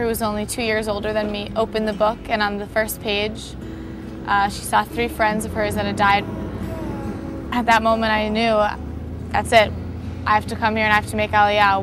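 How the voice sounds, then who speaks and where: A young woman of nineteen tells her own story in a flat, steady voice at a middle pitch. She speaks earnestly, with small fillers between thoughts. A clear music track plays beneath her. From New York, USA. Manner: earnest, plain-spoken, with fillers. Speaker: soldier, sniper instructor. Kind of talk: personal interview testimony. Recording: music playing underneath.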